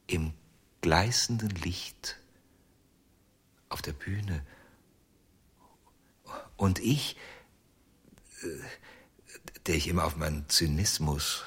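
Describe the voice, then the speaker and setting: An actor playing a haunted, obsessive ventriloquist in a German radio drama, speaking hesitantly with many pauses. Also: male voice, low voice, fairly bright tone, breathy edge, heavily acted. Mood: confessional. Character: haunted, obsessive male ventriloquist, middle-aged. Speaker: ventriloquist under questioning.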